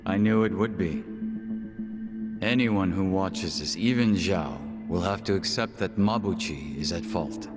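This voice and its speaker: An actor in a game dub playing a calm, smug underworld intelligence boss who speaks with measured, businesslike courtesy and veiled menace. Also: male voice, deep voice. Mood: composed, guiding. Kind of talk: English video game dub acting. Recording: loud music under the voice.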